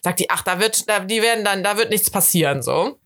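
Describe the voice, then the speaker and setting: Rhineland woman on a podcast, chatty, acts out what people said, mid-pitched voice. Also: a little fast. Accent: Rhineland German. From Rhineland, Germany. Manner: animated storyteller, acts out her own quotes. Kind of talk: casual chat.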